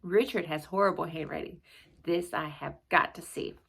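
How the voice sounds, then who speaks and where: A woman voicing an earnest, wide-eyed young schoolboy from a children's storybook in an expressive way. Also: low voice, moderate pace, vocal fry, slightly rough, heavily acted. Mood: amazed, puzzled. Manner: expressive, dramatic storybook reading.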